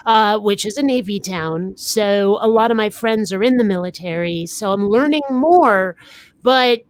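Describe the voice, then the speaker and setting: A woman cohosting a podcast, talking casually in a chatty, quick-to-react way. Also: mid-range voice. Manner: chatty, self-described manic. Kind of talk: casual chat.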